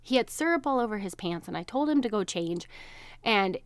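A woman's voice: medium pitch, animated, fairly quick, clear, resonant tone, dry recording. A woman in her thirties hosting a solo podcast, chatty and bubbly, laughing often, her voice nasal and stuffed up from allergies. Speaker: podcast host, registered dietitian. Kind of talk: casual solo podcast chat.

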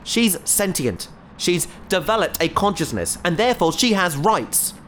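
Male starship crewman, soft-hearted, argumentative, wry, swooping pitch, sci-fi audio drama, clear background noise. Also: sing-song, measured pace, heavily acted. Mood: earnest.

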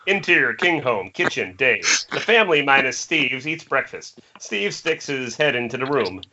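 A man's voice: medium pitch, animated, moderate pace. A man narrating screenplay directions for a table read, recorded over an internet call. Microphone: remote video-call microphone. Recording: muffled internet call, faint noise.

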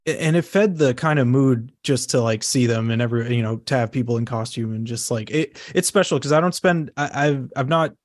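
A man's voice: medium pitch, brisk, hardly any pauses, dry recording. Guy chatting on a podcast, dry close sound, keen and enthusiastic, trips over his restarts. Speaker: podcast team member. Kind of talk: casual roundtable chat.

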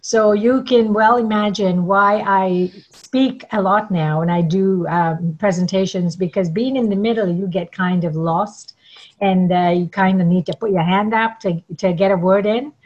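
A woman's voice: mid-range voice, unhurried. Middle-aged woman, slightly dull recording. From Trinidad and Tobago. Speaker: interview guest, networking coach. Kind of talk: conversational personal storytelling in an interview.

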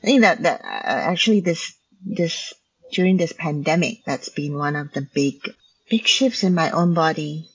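A choreographer whose first language is Hokkien, speaking in a reflective conversation over a video call, thoughtful and halting, with many restarts. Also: female voice, sits low, lively intonation, husky. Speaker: choreographer and teacher. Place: home in Vancouver. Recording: dull lo-fi video call audio.

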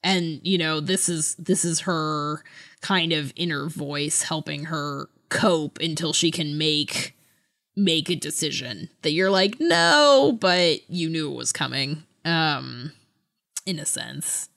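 A woman with a fairly low voice talks at a slow pace. She is a podcast co-host in a casual book discussion, feeling her way through her thoughts as she goes. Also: expressive, gravelly, a bit bright.

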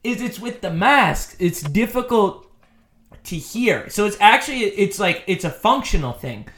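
A man co-hosting a casual podcast, emphatic and playful, leaning on drawn-out "mm-hmm"s. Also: high voice, sing-song, fairly bright tone. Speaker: podcast co-host. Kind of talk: casual chat.

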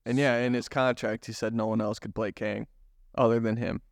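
A young man with a gravelly, creaky voice at an ordinary pitch, chatting casually on a podcast. He chimes in with quick agreements and tells short stories. The sound is dry and close. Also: flat delivery, no echo. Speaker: podcast co-host. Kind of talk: casual chat.

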